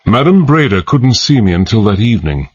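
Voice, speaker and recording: Low, husky British gentleman adventurer. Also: big pitch swings, brisk, highs cut off. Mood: reflective narration. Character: Sir Richard, gentleman adventurer, dry, weary first-person storyteller. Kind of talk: radio drama acting and first-person narration.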